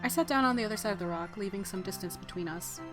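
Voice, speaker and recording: A woman's voice: medium pitch, quick pace, music in the background. A woman hosting a let's play, reading story text and adding playful, casual asides. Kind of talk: narration with casual commentary.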